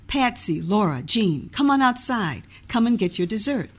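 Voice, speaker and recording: A woman playing a stern, brisk storybook mother. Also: mid-range voice, very animated. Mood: calling out.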